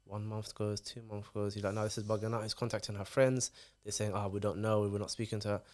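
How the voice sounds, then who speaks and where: Male podcast guest, rapid animated storyteller. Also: on the low side. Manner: animated storytelling, London slang. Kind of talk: casual chat.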